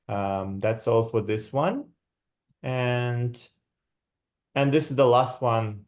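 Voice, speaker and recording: A man's voice: mid-range voice, darkish tone. Young man, lecturing. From Kazakhstan. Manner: checks in with frequent tag questions; measured, reflective, with many fillers. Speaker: guest lecturer. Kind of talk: academic talk walking through slides.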